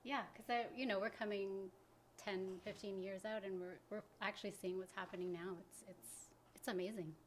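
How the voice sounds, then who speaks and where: A woman, a guest in a casual interview, speaking in a thoughtful, measured way. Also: mid-range voice, steady tone, a little hiss or hum. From Canada. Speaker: guest, community arts organizer.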